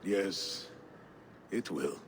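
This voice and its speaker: An actor playing a weary, devoted Norse steward in mourning for a video game, halting and weighed down. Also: male voice, sits low, heavy background noise, exaggerated voice. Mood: mournful. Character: Tryggvi, grieving male Norse clan steward, weary and devoted. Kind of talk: video game cutscene acting.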